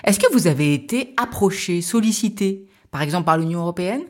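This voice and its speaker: A woman's voice: fairly low pitch, expressive, fairly quick. A woman interviewing a guest on radio, asking direct, probing questions.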